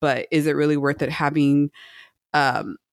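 A middle-aged woman co-hosting a podcast in casual conversation, explaining her thoughts earnestly in a rambling, halting way. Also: sits low, gravelly, dry recording, clean studio sound. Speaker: podcast co-host. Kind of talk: casual chat, reviewing a book.

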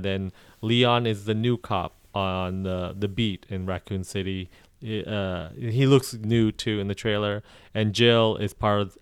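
A man's voice: on the low side, smooth, dry recording. A man co-hosting a casual podcast, talking in rambling runs with frequent ums and restarts, recorded close on a podcast mic. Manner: rambling, self-correcting, many ums. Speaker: podcast co-host. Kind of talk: casual chat.

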